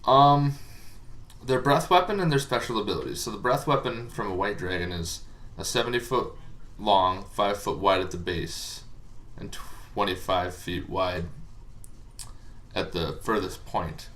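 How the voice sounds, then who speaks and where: Very deep, bright-voiced man explaining casually. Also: unhurried. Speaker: dungeon master explaining tabletop monster lore. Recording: faint background noise.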